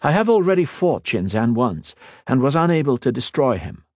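A man voicing an earnest, calm male mage lord who speaks evenly and respectfully. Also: mid-range voice, moderate pace, no room sound, clean studio sound, pitched above the performer's own voice.

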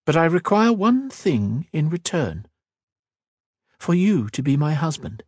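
A man voicing a proud, wary queen who speaks in a haughty, cutting, measured way. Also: fairly high pitch, big pitch swings, darkish tone, husky, no echo, voice pushed up, exaggerated voice. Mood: commanding.

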